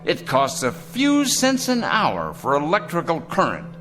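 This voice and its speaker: A man's voice: on the high side, wildly swooping pitch, measured pace, fairly bright tone, soft background music, heavily acted. An actor playing a cold, imperious male factory boss with a pompous, condescending manner in a radio drama.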